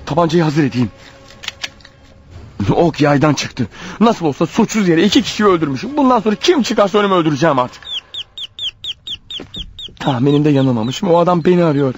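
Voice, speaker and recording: An actor playing a paranoid, desperate killer on the run in a radio drama, thinking aloud in an agitated, urgent manner. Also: male voice, on the high side, expressive, a little fast, long pauses, highs cut off, lightly acted. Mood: panicked, defiant. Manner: agitated, urgent, talking his thoughts out loud. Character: desperate, paranoid fugitive killer, a married man. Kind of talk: radio drama acting with spoken-aloud thoughts.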